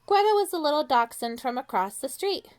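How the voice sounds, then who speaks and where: A woman reading a picture book aloud to young children in an expressive way, with dramatic pauses and questions to her listeners. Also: high-pitched. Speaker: children's storytime reader.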